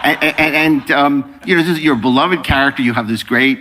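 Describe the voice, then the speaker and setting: A man interviews a guest as host of a live panel. His mid-pitched voice is casual and chatty, wandering its way into each question. Long hall reverb surrounds it. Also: a little fast, pushed and bright, a little hiss or hum. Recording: echoey large hall through PA.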